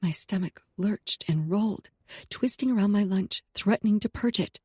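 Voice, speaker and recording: A woman narrating a romance audiobook in first person, soft and emotional. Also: mid-range voice, normal speed, husky, no room sound, clean studio sound.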